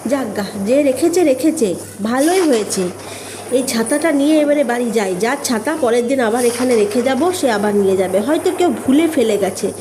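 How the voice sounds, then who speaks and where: Woman voicing an anxious, high-pitched student girl. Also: expressive, brisk, noisy background. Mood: anxious, startled. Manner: fretful, exclaiming, talking to herself. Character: anxious young female college student, studious village girl.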